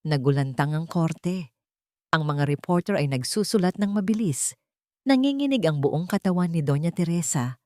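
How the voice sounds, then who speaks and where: Low-voiced woman narrating a drama. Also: animated, normal speed, warm tone, no echo, studio quality. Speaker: story narrator. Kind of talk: scripted dramatic audio story narration in Tagalog romance drama manner.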